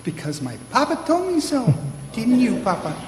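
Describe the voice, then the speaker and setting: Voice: mid-range voice, huge pitch swings, normal speed, loud music behind the voice. A performer playing an eager, trusting young boy in an old-time radio play, recorded in a roomy hall. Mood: eager, innocent. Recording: roomy hall from far off, clear noise.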